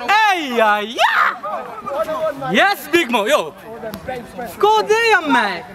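A man, joking loudly and mixing in Swahili during banter on an outdoor football pitch at night. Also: wildly swooping pitch, drawn-out words, very noisy. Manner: loud, joking, mixes Swahili and English. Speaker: guest bodybuilder.

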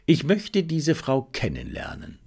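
A man voicing a brisk, analytical gentleman amateur detective in an audiobook, commanding, deliberate and precise. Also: medium pitch, wildly swooping pitch, gravelly, husky, exaggerated voice. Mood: pondering.

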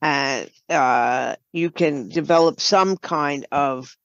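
A woman giving explanatory, halting answers as a guest in an unscripted interview. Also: low-pitched, a bit bright, dry recording, dull audio. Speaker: podcast guest, writer and producer.

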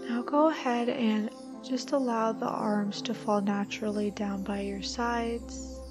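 Woman, meditation guide, slightly high voice, soothing instructions, long pauses, soft music underneath. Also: steady tone. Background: background music.

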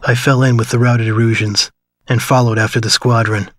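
An actor playing a wistful man recalling his wartime youth, in measured, reflective, sombre scripted narration for a video game. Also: mid-range voice, flat delivery, a little hiss or hum, natural voice. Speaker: story narrator. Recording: loud music under voice. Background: music.

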